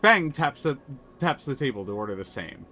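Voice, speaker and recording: A man reading a game's narration aloud live, with animated delivery and quick self-corrections. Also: medium pitch, faint music behind. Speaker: game narrator.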